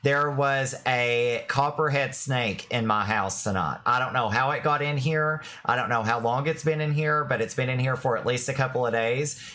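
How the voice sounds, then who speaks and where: A man's voice: medium pitch, normal speed, hardly any pauses, pushed and bright. A man in his thirties chatting casually and rambling on his live stream. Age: 30s.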